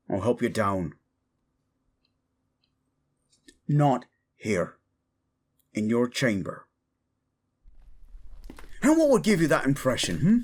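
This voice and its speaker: Man acting a intense, expressive fantasy protector. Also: medium pitch. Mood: controlled anger. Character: protective young commoner man, gruff and devoted, guarding royalty. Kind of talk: fantasy audio roleplay acting.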